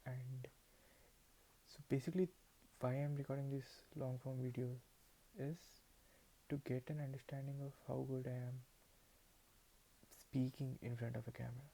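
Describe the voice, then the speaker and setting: A man's voice: mid-range voice, little pitch movement, normal speed, gravelly, long pauses, dark tone, slight background noise, rough recording. A man in his twenties whose first language is Telugu, talking unscripted to a camera in a hesitant way, with many restarts and repeated words. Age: 20s. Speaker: vlogger.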